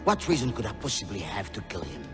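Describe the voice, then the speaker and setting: An older actor playing an embittered, scornful patriarch in a video game, speaking formally and sarcastically. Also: male voice, lowish voice, very animated, fast talker. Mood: indignant. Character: scornful, embittered old patriarch rebuking his son. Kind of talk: dramatic game cutscene acting. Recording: long hall reverb under loud music.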